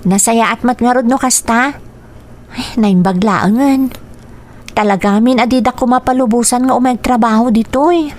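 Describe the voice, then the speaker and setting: A woman's voice: fairly high pitch, normal speed, a little mellow, lightly acted. An actress with an Ilocano accent playing a sweet, determined teenage girl in a radio drama. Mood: friendly. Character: sweet, determined sixteen-year-old daughter seeking work.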